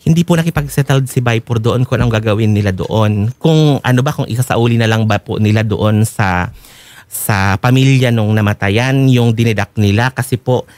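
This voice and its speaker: A man's voice: mid-range voice, moderate pace, a little mellow, no room sound. A man with a Filipino accent laying out grievances formally and insistently as a complainant. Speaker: complainant, factory worker. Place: broadcast studio, crowded with coworkers. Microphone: desk microphone.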